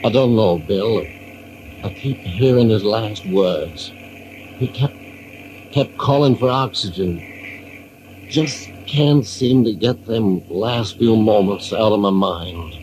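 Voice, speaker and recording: Brooding old salt, low voice, halting delivery, grieving and gentle, old radio drama with faint noise. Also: male voice. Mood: sorrowful. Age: older. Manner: halting, repeats words. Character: guilt-ridden old seaman, grieving father, brooding and gentle. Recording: noisy old radio recording.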